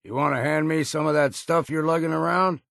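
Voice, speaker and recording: An actor playing a gruff, folksy, weathered old sailor in a video game, with a gravelly, raspy voice and rustic, plain-spoken phrasing. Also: male voice, a little high, fairly even intonation, fairly quick, fairly bright tone, dry recording, clean studio sound. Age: older. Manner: folksy, rustic turns of phrase, dropped grammar. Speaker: video game companion character.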